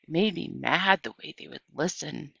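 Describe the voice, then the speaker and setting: A woman reading a children's novel aloud as an audiobook narrator, in an animated storytelling way. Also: sits low, normal speed, gravelly, breathy edge, no echo, muffled recording. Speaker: audiobook reader.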